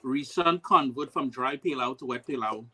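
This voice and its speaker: A Trinidadian man, a food blogger on a panel, talking in a relaxed, easygoing way. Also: medium pitch, moderate pace, very noisy. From Trinidad and Tobago. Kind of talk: casual video call panel chat.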